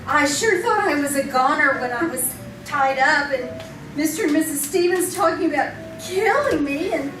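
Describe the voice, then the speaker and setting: Woman playing a very high-pitched gushing ingenue. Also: animated, moderate pace. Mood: gushing relief. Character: gushing, excitable, grateful young woman. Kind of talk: 1940s radio drama acting. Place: live theater stage. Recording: echoey, distant stage with music.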